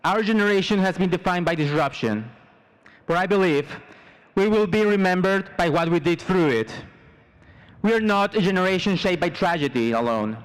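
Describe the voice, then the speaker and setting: A young man in his twenties from Mexico, giving a formal prepared commencement speech as a student, in measured short phrases. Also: high-pitched, animated, smooth, rough recording. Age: 20s. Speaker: student salutatorian.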